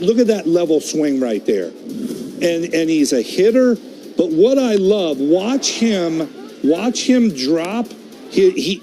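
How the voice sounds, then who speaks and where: A man's voice: high voice, dark tone. A man giving conversational baseball analysis, breaking down a hitter's technique. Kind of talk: breaking down a batting replay, conversational. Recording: faint background noise.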